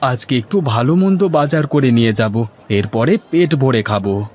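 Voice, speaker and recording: A man playing an excitable, cheerful village husband and food seller in a cartoon folk tale, with exclamatory, folksy speech. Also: medium pitch, moderate pace, lightly acted. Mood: grumbling. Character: cheerful, excitable village husband turned rice-hotel owner.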